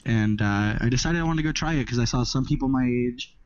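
A man's voice: medium pitch, fast, no room sound. A man of twenty from Southern California, a podcast guest chatting casually and rambling with restarts. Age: 20s. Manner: rambling, restarts phrases, easygoing. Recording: dry, muffled lo-fi audio.

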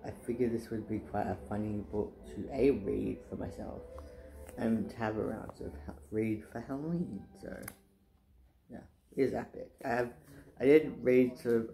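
A soft-spoken young man rambling casually in his living room, with many fillers and restarts. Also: lowish voice, gravelly. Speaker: booktuber. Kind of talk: casual chat. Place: living room, indoors, sitting on couch.

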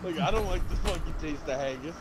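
Rambling, higher-pitched male gamer. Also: fast. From Edinburgh, Scotland. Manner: sweary, repeats and rambles through anecdotes. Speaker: game streamer. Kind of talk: casual chat while gaming. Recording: game noise behind voice chat. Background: shooter game sounds.

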